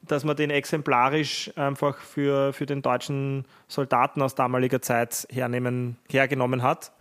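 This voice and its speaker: A man's voice: mid-range voice, little pitch movement, normal speed, a bit bright. A man co-hosting a casual podcast, explaining at length with hedges and restarts. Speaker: podcast co-host.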